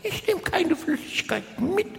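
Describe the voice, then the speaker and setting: A man's voice: extremely high pitch, very animated, normal speed, gravelly, pitched above the performer's own voice, heavily acted. Man putting on an insistent homely older mother. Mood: innocent, defensive. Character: comedian's stubborn homely mother, full of folk wisdom. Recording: reverberant live hall with background noise.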